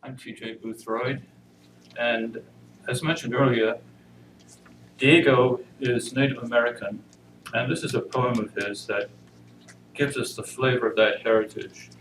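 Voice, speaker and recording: A man introducing and reading poetry at a church service, measured and solemn. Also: medium pitch, fairly even intonation, a bit bright. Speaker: congregation member reading inmates' poetry. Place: church service, indoor room. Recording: roomy, noisy pickup far from mic.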